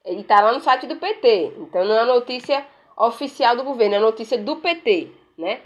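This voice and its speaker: Woman with a higher voice, chatty and sarcastic. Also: animated, quick pace, muffled recording. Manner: frequent "né?" tags, rambling, sarcastic. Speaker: political commentator vlogger. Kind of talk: casual commentary while browsing on screen.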